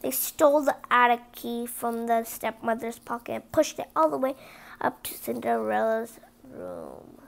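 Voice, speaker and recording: A woman's voice: on the high side, big pitch swings, a little slow, vocal fry. A woman reading a children's story aloud, stumbling now and then over the words. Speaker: storybook reader.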